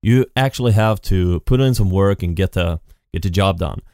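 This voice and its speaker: A man's voice: sits low, normal speed, a little mellow, smooth, no room sound, clean studio sound. A man hosting his own podcast, talking off the cuff in a rambling way with lots of restarts, into a decent mic at his computer. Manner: rambling, many restarts and repeated "and". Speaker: podcast host. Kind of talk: unscripted solo podcast monologue. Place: home setup at a computer. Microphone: studio podcast mic with effects.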